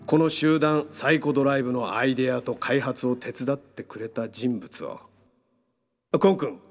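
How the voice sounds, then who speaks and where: A man's voice: mid-range voice, normal speed, faint music behind, natural voice. An actor playing a confident psychotherapist who lectures in technical terms about his invention, in a radio drama. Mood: assured, explaining. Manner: lecturing, technical, self-assured. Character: confident male psychotherapist explaining his brain-linking invention.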